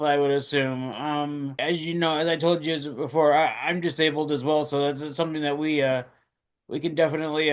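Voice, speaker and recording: A man interviewing a guest, asking questions haltingly and repeating words often. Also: fairly high pitch, clear, resonant tone. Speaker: student interviewer.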